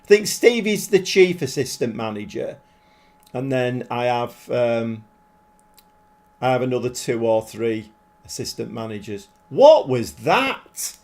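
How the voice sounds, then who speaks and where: A man live-streaming a football management game, chatting casually with viewers, self-deprecating and quick to get excited. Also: medium pitch.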